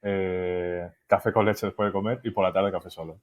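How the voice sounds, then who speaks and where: A young man from Spain with a Málaga accent, chatting casually as a podcast guest, relaxed, with lots of fillers and easy laughter. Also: low voice, moderate pace. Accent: Andalusian Spanish from Málaga. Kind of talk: casual interview chat.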